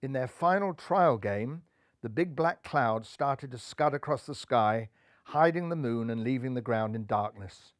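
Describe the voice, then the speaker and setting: A man's voice: mid-range voice, animated, unhurried, no room sound, studio quality. A man narrating a children's animal story aloud in a playful, theatrical way.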